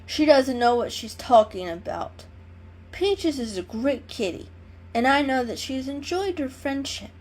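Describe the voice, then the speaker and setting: Lady doing a little boy kitten, proud and encouraging, high storybook voice. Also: lively intonation, measured pace, slight background noise. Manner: encouraging, warm-hearted. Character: proud, kindly young male kitten, encouraging and loyal.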